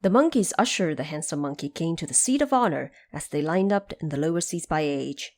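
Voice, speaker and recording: Expressive woman storyteller, lively pitch. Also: fairly quick. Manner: expressive, theatrical. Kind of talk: dramatic scripted storybook narration.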